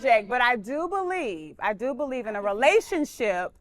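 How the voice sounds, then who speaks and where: A woman with a fairly high voice speaking as a guest on a talk panel. She talks in an emphatic, colloquial way, as if testifying to the room. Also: big pitch swings, normal speed. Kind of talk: casual chat.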